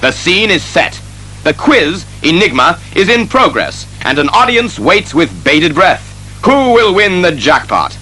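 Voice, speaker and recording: An actor playing a dramatic serial narrator, building suspense in melodramatic audio drama style. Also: male voice, a little high, sing-song, a little slow, bright, forceful tone, faint music behind, full character voice, poor audio. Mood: suspenseful.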